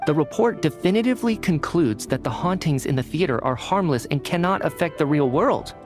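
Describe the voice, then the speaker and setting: Male actor as young scholarly investigator, fairly high voice, earnest, articulate, deliberate, game cutscene, soft music behind. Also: lively intonation, lightly acted. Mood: deliberate reasoning. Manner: deliberate, articulate, thinking aloud. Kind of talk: anime-style video game cutscene acting.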